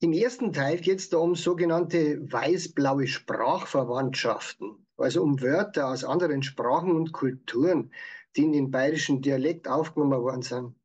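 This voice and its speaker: A man's voice: a little high, normal speed, darkish tone, dull audio. A man with a Bavarian accent, explaining things in prepared answers as an author being interviewed. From Bavaria, Germany. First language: Bavarian dialect.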